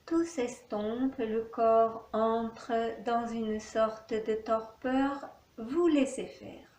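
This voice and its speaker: A woman teaching yoga nidra, giving calm, soothing guided relaxation instructions with long pauses in an echoey room. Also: a little high.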